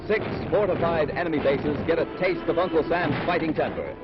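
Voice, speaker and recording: High-pitched American 1940s newsreel man. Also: a little fast, very noisy. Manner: dramatic, emphatic announcer delivery. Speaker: newsreel narrator. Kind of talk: 1940s newsreel narration, scripted. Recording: muffled 1940s newsreel film soundtrack. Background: loud music, battle sound effects.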